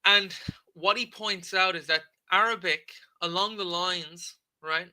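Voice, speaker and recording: A man's voice: high-pitched, unhurried, bright, forceful tone, low-quality recording. A man hosting a livestream, explaining a text in a casual lecture and often checking in with "okay?". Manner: conversational, frequent "okay?" checks and filler "um". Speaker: livestream debate host.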